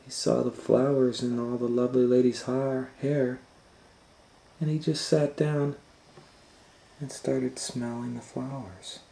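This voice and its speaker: A man reading a children's storybook aloud as a host, in a dramatic, teacherly manner, pausing to comment and ask questions. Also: mid-range voice, level tone, creaky, mellow. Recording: echoey room with faint noise.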